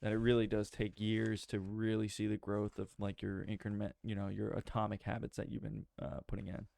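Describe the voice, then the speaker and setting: A man talking casually in a book discussion, relaxed and hesitant, with frequent ums. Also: on the low side, a little fast, creaky, dry recording. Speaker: book club member.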